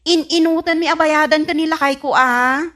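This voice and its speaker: A woman's voice: high voice, fairly even intonation, moderate pace, a bit bright. A woman playing a stubborn, boastful, spendthrift married daughter with an Ilocano Filipino accent in a comedy radio drama, brash and defiant. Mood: wheedling.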